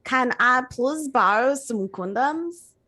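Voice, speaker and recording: A woman plays an irritable, petulant male teacher in a comic story reading. The voice is fairly high and swings widely, with lines drawn out defensively. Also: expressive, slow, pushed and bright, full character voice. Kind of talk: comedic dramatic reading of fan fiction.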